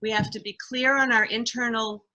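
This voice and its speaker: A woman's voice: a little high, fairly even intonation, moderate pace, sharp tone, highs cut off. A woman hosting an interview, introducing her guest and asking questions conversationally. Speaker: interviewer, host.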